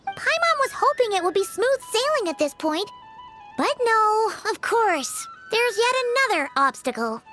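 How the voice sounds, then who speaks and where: A woman's voice: very high voice, big pitch swings, moderate pace, bright, forceful tone, faint music behind, full character voice. A woman voicing a small, chirpy, childlike fairy companion in a video game, talking about herself in the third person. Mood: exasperated. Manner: speaks of self in third person.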